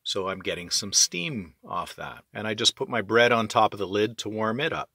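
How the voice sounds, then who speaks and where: Guy, bright tone, laid-back how-to vlogger in a small boat cabin. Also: lowish voice, normal speed, gravelly, husky, no room sound, clean studio sound. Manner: chatty, relaxed, with restarts and "eh?" tags. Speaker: sailboat designer and vlogger. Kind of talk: casual how-to vlog narration. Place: small sailboat cabin at night.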